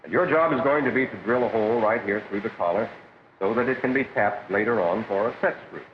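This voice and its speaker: An actor with an American accent playing a practical factory instructor who explains things methodically in a 1940s training film. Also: male voice, lowish voice, brisk, natural voice. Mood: friendly, explaining. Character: plain-spoken, practical male factory instructor. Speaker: shop floor instructor. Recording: dull, hissy 1940s film soundtrack.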